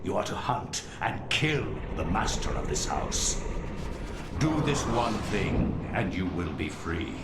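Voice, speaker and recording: Echoing over loud noise, an imperious otherworldly being from a video game. The voice is low, gravelly and slow, issuing commands with threat. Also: male voice, big pitch swings, full character voice. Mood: commanding, threatening. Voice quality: deep, gravelly. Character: menacing, commanding otherworldly being giving orders. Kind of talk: video game cutscene acting.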